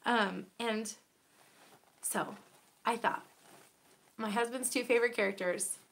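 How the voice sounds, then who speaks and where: A young woman hosting a knitting podcast in casual show-and-tell chat, her voice raspy from a cold, chatty and bubbly with many fillers. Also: a little high, steady tone, takes it slowly, a bit bright.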